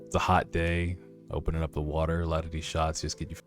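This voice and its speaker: Man, very low voice, slangy streamer commentary, informal, faint background music. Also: monotone, fast. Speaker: streamer reading a webtoon aloud and reacting. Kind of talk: casual reaction commentary with read-aloud dialogue. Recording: music behind the voice.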